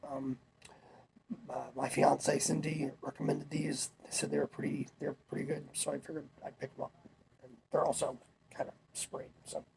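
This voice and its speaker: A man's voice: a little high, flat delivery, moderate pace, vocal fry, darkish tone, a little hiss or hum, rough recording. A young man talking casually, a little congested while he gets over a cold, rambling with fillers and restarts. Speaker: vlogger. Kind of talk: casual chat.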